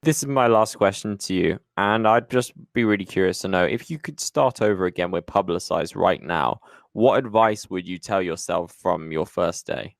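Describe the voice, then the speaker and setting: Man, very deep voice, podcast host, upbeat and encouraging, dry close sound. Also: normal speed, vocal fry, no echo. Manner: upbeat, affirming. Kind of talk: interview podcast.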